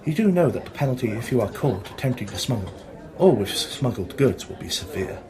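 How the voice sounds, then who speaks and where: A man playing a polite but quietly menacing security officer in an amateur radio play, speaking formally. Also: medium pitch, very animated, brisk, close to an everyday voice. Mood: veiled threat. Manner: formal, veiled threatening.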